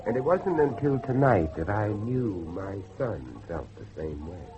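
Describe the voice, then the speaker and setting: An actor playing an older, devout, haunted Victorian father in a theatrical horror drama, speaking haltingly. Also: lowish voice, very animated, dull audio, background noise. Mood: grave, searching. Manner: theatrical, halting, repeats himself. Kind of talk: dramatic horror audio acting.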